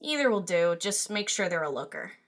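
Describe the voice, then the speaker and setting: A woman's voice: fairly low pitch, big pitch swings, brisk, a bit bright. A woman voicing a brash, sarcastic young unicorn party girl in a fan audio drama, slangy and cheeky.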